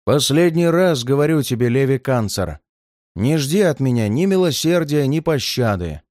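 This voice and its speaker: A hulking, cruel giant of a man threatening his victim in a storybook, as a male narrator puts him on. He speaks slowly and deliberately, with a lively, rising and falling delivery. The sound is close and dry. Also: mid-range voice, dry recording, natural voice. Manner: threatening, slow and heavy. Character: menacing red-haired male giant, cruel and gloating.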